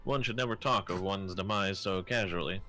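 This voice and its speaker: A man voicing a pompous, boastful gentleman inventor in a fan dub of a game, speaking in grand, theatrical period phrasing. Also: low-pitched, a little fast, slight background noise. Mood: grandiose.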